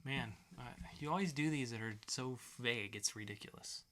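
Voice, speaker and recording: A man's voice: medium pitch, a little fast, background noise. A man co-hosting a podcast with playful banter and mock game-show announcing. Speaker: podcast co-host.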